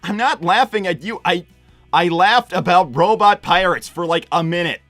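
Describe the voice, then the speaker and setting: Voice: mid-range voice, normal speed, a bit bright, no room sound, lightly acted. An earnest young male hedgehog hero, acted out by a live roleplayer, pleading in loose, stammering speech. He is stubborn and insistent, in a close, dry recording with faint music behind. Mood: defensive, insistent. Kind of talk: improvised live character roleplay.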